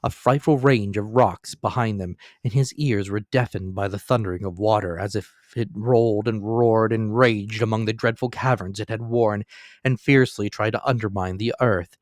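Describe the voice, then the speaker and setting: A man narrating a classic novel as a dramatic, expressive storytelling reading. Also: fairly low pitch, normal speed, no echo, clean studio sound.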